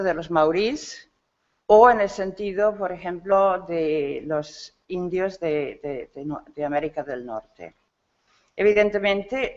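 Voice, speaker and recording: A symposium speaker giving an academic lecture, halting often and restarting phrases while searching for words. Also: mid-range voice. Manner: halting, many restarts and self-corrections; searches for words in a rusty second-nature language. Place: conference hall. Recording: dull lo-fi lecture recording.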